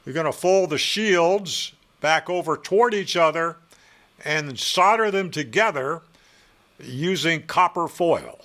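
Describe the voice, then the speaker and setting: Wry man with a bright, higher-pitched voice. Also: expressive, slow pace. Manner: wry, opinionated, emphatic. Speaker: ham radio hobbyist presenting a how-to. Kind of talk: how-to narration with sarcastic asides.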